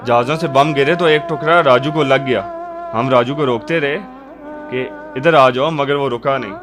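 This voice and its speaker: A man's voice: mid-range voice, moderate pace, music in the background, natural voice. A man playing an earnest neighbourhood fellow in a radio drama. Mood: grave. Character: earnest neighbourhood man bearing grave news.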